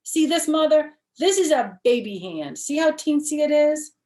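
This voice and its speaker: Storybook kindergarten girl, played by a woman: insistent, huffy and self-important, pitched up, in a roomy recording. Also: lively intonation, normal speed, a little mellow. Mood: boastful, insistent. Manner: emphatic, childish grammar, insistent. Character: bossy, indignant kindergarten girl insisting she's grown-up. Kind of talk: children's storybook character voice.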